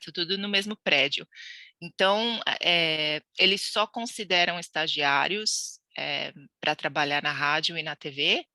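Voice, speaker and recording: A woman's voice: sits low, unhurried, pushed and bright, dry recording. A woman from Brazil, a podcast guest telling her immigration story in a chatty, laughing way with many restarts. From Brazil (Santos). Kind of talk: casual interview chat.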